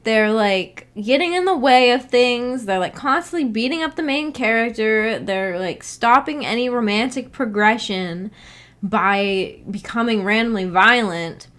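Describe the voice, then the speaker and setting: A woman's voice: on the high side, animated, measured pace, a bit bright. A woman streamer chatting casually with viewers, reading out comments and rambling as she thinks aloud in a giggly way. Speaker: streamer, book club host. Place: indoor home streaming setup.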